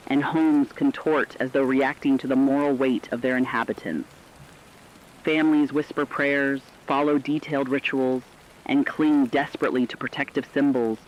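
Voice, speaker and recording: A man's voice: mid-range voice, lightly acted. A man playing a grave radio reporter, delivering formal, solemn warnings of terror as scripted dramatic news. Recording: dull lo-fi sound, hissy rain noise.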